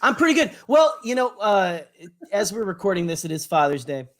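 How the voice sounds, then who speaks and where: A male podcast co-host whose voice sits a little high for a man. He chats casually and trades banter, teasing in a wry, playful way. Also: sing-song, fairly quick.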